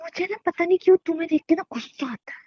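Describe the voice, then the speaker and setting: A high, young-wife voice acted by a woman, bossy and playfully exasperated. She scolds her husband in natural, everyday audio-drama banter. The recording is slightly dull and lo-fi. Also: fast, warm tone. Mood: playfully angry. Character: irritable, teasing pregnant young wife bossing her husband.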